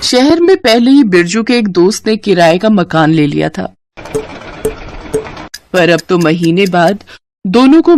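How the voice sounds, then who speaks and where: A woman's voice: medium pitch, a little mellow. A woman narrating a scripted moral story as storyteller.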